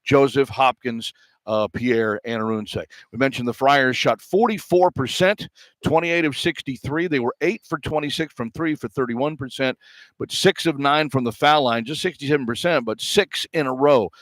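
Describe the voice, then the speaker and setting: A man's voice: medium pitch, moderate pace, no room sound, rough recording. Older male radio sportscaster, close and dry. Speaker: play-by-play radio sports broadcaster. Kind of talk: postgame sports commentary with casual banter. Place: courtside at a basketball arena. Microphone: broadcast headset mic.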